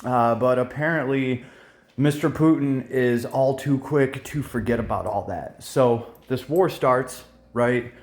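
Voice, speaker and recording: A man's voice: mid-range voice, a little slow. A man in his thirties, born in Russia, talking casually to his viewers, blunt and heated, with lots of swearing and fillers. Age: 30s. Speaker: YouTuber. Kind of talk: casual opinion vlog.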